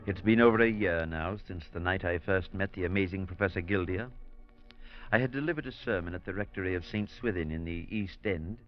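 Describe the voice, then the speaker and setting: An actor playing a reflective, gentle priest who narrates and talks in 1940s radio-drama style. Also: male voice, deep voice, a little fast, faint music behind, natural voice. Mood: solemn. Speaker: clergyman narrator. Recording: dull old radio broadcast.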